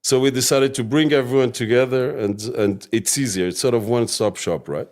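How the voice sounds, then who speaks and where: A man's voice: mid-range voice, fairly quick, a little mellow. A man with a Portuguese-Australian accent, rambling casually as an interview guest and often asking "right?". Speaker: real estate guest.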